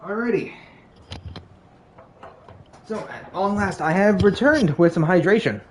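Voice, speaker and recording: A man's voice: high voice, animated, unhurried. Casual young man streaming, slightly scratchy voice. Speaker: game streamer. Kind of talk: casual live stream chat over gameplay.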